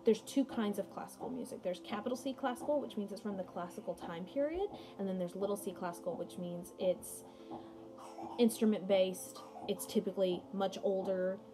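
A woman's voice: medium pitch, moderate pace. A young adult woman giving a casual read-aloud lesson as a music teacher, mixing read passages with chatty, informal asides. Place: small room at home, indoors. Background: music playing behind the voice.

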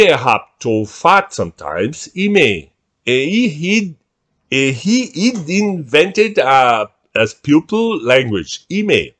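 A man reads his own writing in an unhurried, deliberate way. His delivery is drily humorous and measured, at a middling pitch. He is recorded on a webcam at a desk in a room at home. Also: fairly bright tone. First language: Portuguese. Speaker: author reading his own text. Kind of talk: reading aloud, switching between languages.

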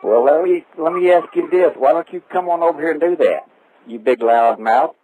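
A middle-aged man who runs a roofing business, trading crude, mocking insults in a slow drawl over a tinny phone line. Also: a little high, slight background noise. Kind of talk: comic phone-call banter.

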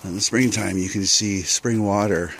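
A middle-aged man from Canada giving a relaxed, unhurried commentary outdoors as he hikes along overgrown rural trails and films on a handheld camera. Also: lowish voice, a little hiss or hum, poor audio. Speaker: vlogger. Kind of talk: casual walking narration.

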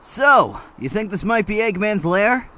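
A woman's voice: medium pitch, very animated, a little slow, very noisy. A woman voicing a cocky, impatient young male hedgehog hero in a cartoon parody, brash and dismissive. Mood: eager. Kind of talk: comedic cartoon parody voice acting. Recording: loud music behind.

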